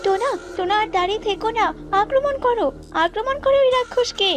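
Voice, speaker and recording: A young cartoon bird princess, voiced by a woman, speaks extremely high. She is dutiful, earnest and forthright, with a brave streak. Music plays faintly behind her. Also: fast talker. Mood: urgent. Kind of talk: cartoon voice acting.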